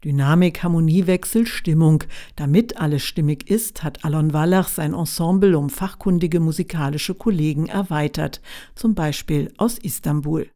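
A woman reporter reading a scripted radio feature in a calm, measured way. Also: low voice.

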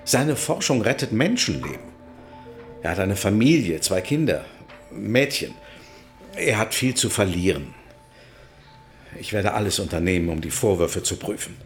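A courteous, measured defence lawyer in his sixties in a radio drama. His voice is fairly low, formal and composed, with music clearly audible behind him. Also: very animated. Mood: firm. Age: 60s. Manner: formal, composed, matter-of-fact. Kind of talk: German radio drama acting.

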